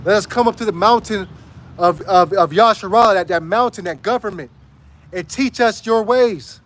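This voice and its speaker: A man preaching outdoors in an emphatic street sermon style, repeating lines and checking in with "right?", his voice going hoarse. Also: high-pitched, moderate pace, a bit bright.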